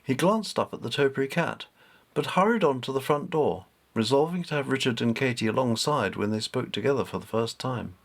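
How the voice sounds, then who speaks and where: A man reading his own story aloud as narrator, in an expressive, measured storytelling manner. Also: medium pitch.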